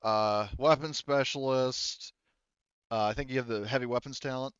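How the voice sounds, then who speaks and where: A man narrating as game master in a casual tabletop roleplaying session, thinking aloud haltingly and swearing mildly. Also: medium pitch, fairly even intonation, clear, resonant tone, no room sound, highs cut off.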